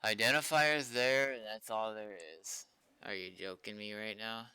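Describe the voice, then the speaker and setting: Bright, crisp-voiced man muttering at his computer. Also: lowish voice, fairly even intonation, normal speed, no room sound. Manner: frustrated, swearing, talking to himself. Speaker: livestreaming game server developer. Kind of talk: casual muttered commentary while coding on stream.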